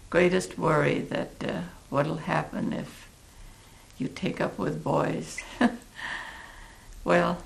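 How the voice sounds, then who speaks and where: Very creaky, slow-spoken elderly American woman. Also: low voice. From Oregon, United States. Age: 80s. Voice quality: gravelly, creaky. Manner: halting, deliberate, thoughtful pauses. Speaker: interviewee recalling her life. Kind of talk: reflective oral history interview.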